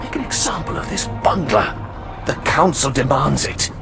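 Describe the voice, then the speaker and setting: An actor playing a scornful alien council elder with a sneering, mocking delivery. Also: male voice, mid-range voice, big pitch swings, moderate pace, creaky. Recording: game cutscene with music.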